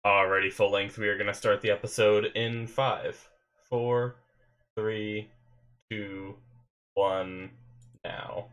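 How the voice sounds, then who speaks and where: A man's voice: on the low side, takes it slowly, long pauses. A young man with a stuffy nose, chatting casually to his webcam from his bedroom and rambling with lots of fillers as he hosts an anime reaction. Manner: rambling, full of fillers and restarts, excitable. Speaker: anime reaction channel host. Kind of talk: casual rambling chat to camera. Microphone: webcam setup at a desk.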